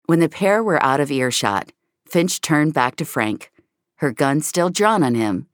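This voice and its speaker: Very low-voiced woman narrator, slow delivery. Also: expressive, dry recording, studio quality. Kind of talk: dramatic scripted narration of audio fiction.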